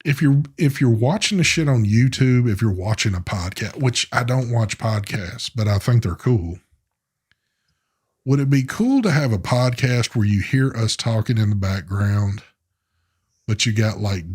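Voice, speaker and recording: A man's voice: fairly low pitch, normal speed, a little mellow, husky. A man, co-hosting a live online show in a loose, rambling way, cussing casually. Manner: laid-back, cussing, rambling. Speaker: co-host of a live vape show. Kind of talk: casual live-stream chat.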